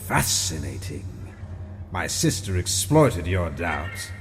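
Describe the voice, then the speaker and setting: A man's voice: sits low, big pitch swings, in a large hall, music in the background, full character voice. A man playing the Rat King, a menacing, theatrical ancient immortal who speaks in grandiose riddles in a comic-book audio drama. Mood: menacing, intrigued. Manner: slow, grandiose, riddling speech.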